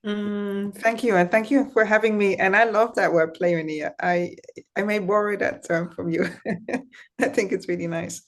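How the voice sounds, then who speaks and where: A middle-aged woman with a Dutch accent, speaking over a video call as an interview guest and talking in a thoughtful, reflective way with soft laughs. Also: medium pitch, fairly even intonation, moderate pace. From Netherlands. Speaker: guest, founder and system scientist. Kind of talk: conversational interview.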